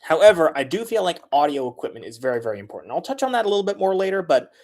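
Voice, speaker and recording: A man talks through his filmmaking process in a relaxed, conversational way. He often pauses on filler sounds while he works out his next point. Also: fairly high pitch. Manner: loose and chatty, frequent filler sounds. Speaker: transit video creator. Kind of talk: casual how-to talk.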